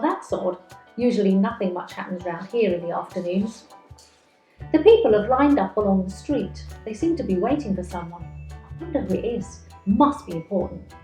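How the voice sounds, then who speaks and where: A woman's voice: medium pitch, warm tone, reverberant, close to an everyday voice, rough recording. A woman voicing a chatty, nosy storybook magpie, a reformed thief who confides in the listener expressively. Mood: chatty, confiding.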